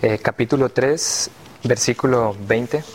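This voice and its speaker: A man in his twenties from Colombia, a theology student, speaking calmly and reading scripture aloud. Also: mid-range voice, a little slow. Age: 20s. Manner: calm, reads scripture aloud.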